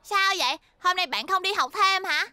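A woman voicing a spirited, quick-witted little schoolgirl in a cartoon dub, childlike and expressive. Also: extremely high pitch, dry recording, heavily acted. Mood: cheerful.

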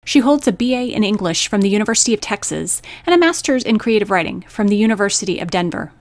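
A woman's voice: medium pitch, lively intonation, quick pace, dry recording. A woman reading a scripted introduction as the host of a radio poetry program.

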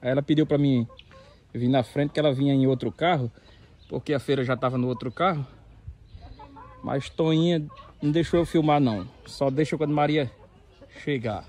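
A man's voice: medium pitch, normal speed, long pauses, darkish tone. A man, vlogging outdoors in a chatty way and laughing often. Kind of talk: casual vlog narration. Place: outdoors, rural sertão and car.

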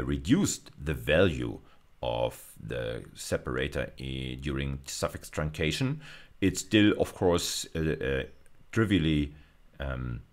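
A middle-aged man with a German accent giving a recorded university lecture, explaining step by step with frequent fillers. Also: a bass voice.

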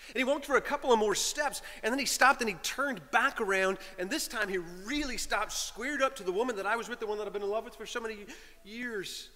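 A middle-aged man, a priest, telling a story in a sermon from the pulpit, animated and shifting between loud lines and hushed whispers. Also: sits high, a little fast. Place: large stone church, speaking from a pulpit. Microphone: pulpit microphone. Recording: long church reverb.

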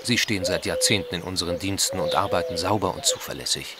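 An actor as deep-voiced, proper Victorian gentleman. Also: fast talker, very noisy, natural voice. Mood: indignant, uneasy. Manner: formal, dignified. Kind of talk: audio drama acting. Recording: loud outdoor ambience with insects.

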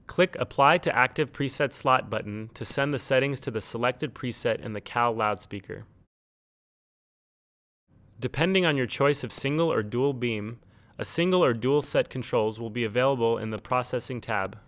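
A man reading a scripted instructional tutorial in a measured, neutral, step-by-step way. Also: medium pitch, a bit bright, no room sound. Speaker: tutorial narrator. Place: close, dry studio-style voice-over recording.

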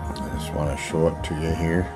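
A man giving a casual, unscripted product demonstration in a plainspoken, halting way from behind a handheld camera indoors. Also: extremely low pitch, flat delivery. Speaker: online seller demonstrating an item. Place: indoors, room in a home. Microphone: handheld camera, speaker off screen behind it. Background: music playing from audio equipment.

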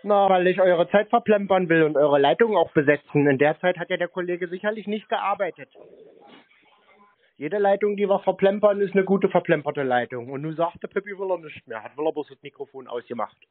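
Casting: male prank caller, slightly high voice, cheeky and crude taunts, thin telephone-band sound. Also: a little fast, no echo. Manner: mocking, crude, cheeky pet names. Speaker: scambaiting prank caller and show host. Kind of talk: teasing prank phone call. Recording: dry, band-limited phone-call recording.

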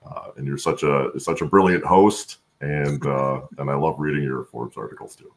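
A middle-aged man with an extremely low, gravelly voice. He speaks as an interview guest over a video call, in an earnest, halting, conversational way. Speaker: guest, supply chain expert and voice-over announcer. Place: room at home, indoors.

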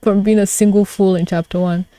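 A woman's voice: mid-range voice, steady tone, brisk, mellow, dry recording. A woman streamer giving a casual live reaction to a webcomic, gushing and flustered, swearing freely. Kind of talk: live reaction with casual commentary and reading aloud.

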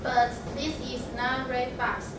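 Woman reading as mama frog, slow careful reading, kinda high voice. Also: steady tone. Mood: gentle. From Taiwan. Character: mother frog, gently correcting her child. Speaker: student reader. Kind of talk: readers' theatre reading. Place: large classroom. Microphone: far camera. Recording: echoey classroom with loud background noise, far off.

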